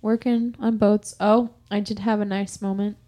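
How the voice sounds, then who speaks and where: A woman's voice: medium pitch, level tone, moderate pace, warm tone. A young woman co-hosting a podcast, telling a story casually, laughing often and swearing freely. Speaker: podcast co-host.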